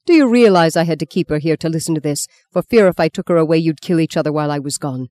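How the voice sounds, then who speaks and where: A man voicing a stern, angry priest in an audiobook, forceful and commanding. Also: on the high side, quick pace, no room sound, natural voice. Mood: furious. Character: angry commanding priest, fiercely scolding quarrelling men.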